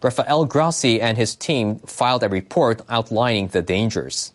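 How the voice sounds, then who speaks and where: A man reading scripted news reports as a newsreader, in a formal, measured way. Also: mid-range voice, animated.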